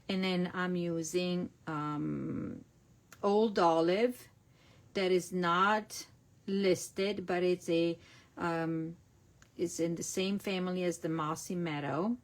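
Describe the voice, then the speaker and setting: A middle-aged woman from Italy with an Italian accent, chatting casually through a stamping and card-making class as she works. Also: fairly low pitch. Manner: chatty, narrates each step, self-correcting. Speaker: crafting demonstrator. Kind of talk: casual online craft class.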